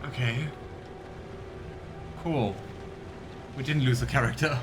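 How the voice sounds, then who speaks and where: A man's voice: medium pitch, slow pace. A man hosting a let's play, giving casual, amused gameplay commentary with rambling asides. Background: game music.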